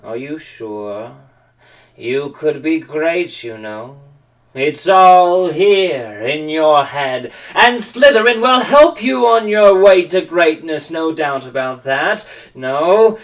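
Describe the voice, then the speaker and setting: A man putting on a booming old magic hat. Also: far from the mic. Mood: musing. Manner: drawn-out, booming calls, then musing and sly. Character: old magical talking hat, shrewd and theatrical. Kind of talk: home read-aloud of a storybook.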